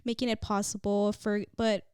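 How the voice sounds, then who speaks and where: Woman, podcast co-host, smooth clear voice, dry close sound, casual reflective chat, lots of fillers. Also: medium pitch, flat delivery, normal speed, darkish tone, no echo. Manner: reflective, many fillers like "you know" and "like".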